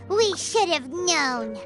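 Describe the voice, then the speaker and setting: Super high squeaky lil fairy kid for a game, blunt, bouncy intonation, music behind. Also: female voice, heavily acted. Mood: dismayed. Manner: speaks of herself in third person, exclamatory. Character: small childlike fairy companion girl, chirpy and blunt. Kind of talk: video game voice acting.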